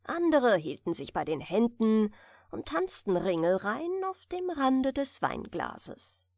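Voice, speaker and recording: A woman narrator softly reading a bedtime story in a gentle, soothing manner. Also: mid-range voice, dry recording, studio quality. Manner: gentle, soothing, unhurried delivery. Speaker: audiobook narrator.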